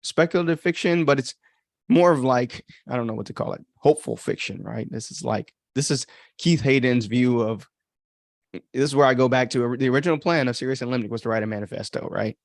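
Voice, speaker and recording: A man's voice: mid-range voice, brisk, creaky, dry recording, clean studio sound. A man chatting informally as a podcast host, rambling through his commentary with frequent filler words and some mild swearing. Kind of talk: casual chat, commentary.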